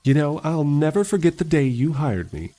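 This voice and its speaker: A man's voice: on the high side, big pitch swings, normal speed, dark tone. A man playing a genial, patient, wry lawyer suitor in an old-time radio drama. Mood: teasing.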